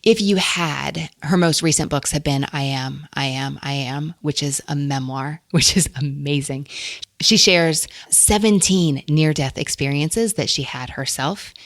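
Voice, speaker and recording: A woman's voice: low voice, unhurried, no echo, studio quality. A woman hosting a book podcast, talking casually and enthusiastically about books and laughing often. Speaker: podcast host.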